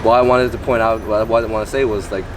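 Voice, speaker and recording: A man's voice: on the low side, little pitch movement, quick pace. Young man, from Malaysia, rambling casual answers, distant, street noise. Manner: talks in loose run-ons full of "like". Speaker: beatboxer guest.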